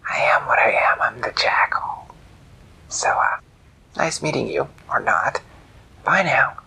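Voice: medium pitch, a little slow, vocal fry, bright, forceful tone, slightly rough, voice pushed up, heavily acted, rough recording. A storyteller voicing a male jackal trickster who speaks in a smug, teasing whisper.

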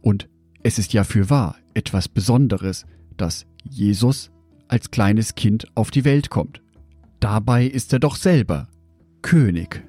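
A man giving a scripted devotional in a measured, reflective way, speaking directly to the listener. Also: fairly low pitch, lively intonation, warm tone, breathy edge, no echo, faint music behind.

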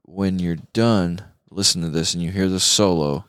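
American dude hosting a guitar podcast, low voice, laid back and slangy, dry close sound. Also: male voice, fairly even intonation, normal speed, fairly bright tone, smooth, no room sound. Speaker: podcast host. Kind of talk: casual music chat.

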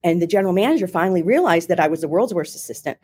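Middle-aged woman, Philadelphia accent, low-ish pitch, animated chatty storyteller, interview guest on a call. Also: rapid-fire. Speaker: marketing executive, guest. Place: home or office in Ohio, remote call.

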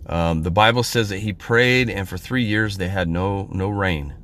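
A hoarse, middle-aged man from Central California preaches an informal podcast sermon in a fairly low voice. He talks in a halting way, full of pauses and restarts, and his voice sounds worn from a cold. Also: a bit bright. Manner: halting, many fillers and restarts. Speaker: podcast minister.

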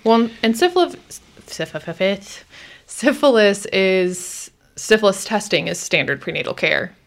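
A woman's voice: medium pitch, lively intonation, a little slow, vocal fry. A woman co-hosting a conversational discussion, recapping what was said and asking questions to check it. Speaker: podcast co-host asking questions.

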